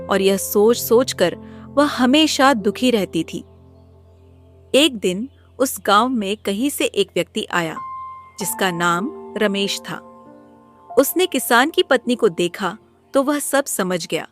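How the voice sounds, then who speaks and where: A woman narrating a scripted moral story as a storybook narrator. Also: a little high.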